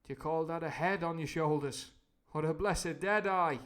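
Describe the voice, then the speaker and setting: A man voicing a loud, domineering pirate landlord from a storybook, blustering in sailor's talk. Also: moderate pace, close to an everyday voice. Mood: interrogating. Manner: booming, blustering, sailor's speech.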